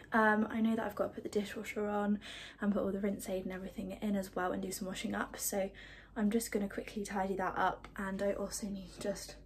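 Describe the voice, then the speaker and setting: A woman in her twenties chatting casually through her evening routine at home, rambling with lots of ums and quick asides. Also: mid-range voice, a little mellow, slight background noise. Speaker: vlogger. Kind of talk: casual chat. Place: small flat, indoors, lounge, kitchen and bathroom. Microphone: phone held at arm's length.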